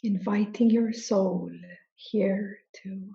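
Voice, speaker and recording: A woman guides a meditation as a spiritual teacher. Her voice is soft-edged and mellow, and she speaks extremely slowly, placing deliberate pauses between phrases. The recording is dull and a little muffled. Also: medium pitch, fairly even intonation, vocal fry.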